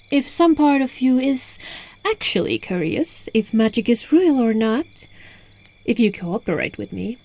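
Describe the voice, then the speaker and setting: Alluring witch played by a woman, highish lively voice, teasing, coaxing, ASMR roleplay, phone recording, background music. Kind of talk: ASMR roleplay addressed to the listener.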